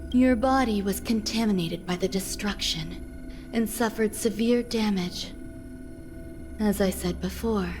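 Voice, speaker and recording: A woman playing a composed, mysterious healer in a game cutscene, speaking in a measured, detached, businesslike way. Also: mid-range voice, lightly acted. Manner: measured, detached, matter-of-fact. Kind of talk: dramatic video game cutscene dialogue. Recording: music under the voice.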